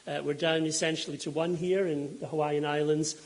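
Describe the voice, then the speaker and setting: A man's voice: a little high, little pitch movement, a little fast. A man with a Scottish accent shaped by Donegal roots, giving a public lecture on climate to an audience, explaining slides with ums and self-corrections. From Scotland. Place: lecture hall before an audience.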